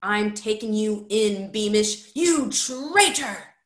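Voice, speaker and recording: A woman's voice: sits high, animated, measured pace, bright, forceful tone, echoey room, pitched above the performer's own voice, heavily acted. A woman voicing a frightened young boy in a storybook, tearful and stammering, trying to sound fierce. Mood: defiant, shivering.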